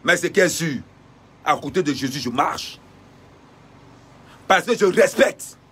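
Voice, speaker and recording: A man with a high, husky voice delivers a fiery denunciation. He hammers the same phrases over and over in a preaching rhythm, breaking into shouts. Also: lively intonation, unhurried. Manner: repetitive preacher-like cadence with shouted bursts. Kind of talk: impassioned denunciation.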